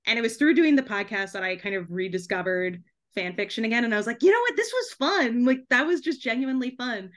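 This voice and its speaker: A woman's voice: on the high side, animated, fast, few pauses, fairly bright tone. A young woman talking casually as a podcast guest, chatty and giggly, peppering her sentences with "like". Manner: chatty, frequent "like", giggles between phrases. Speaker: podcast guest, debut author. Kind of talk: casual conversational interview.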